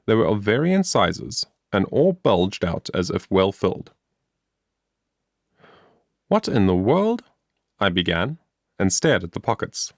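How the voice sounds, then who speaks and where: A man narrating a scripted story as an audiobook reader, with expressive, carefully enunciated delivery. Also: deep voice, normal speed, no echo, clean studio sound.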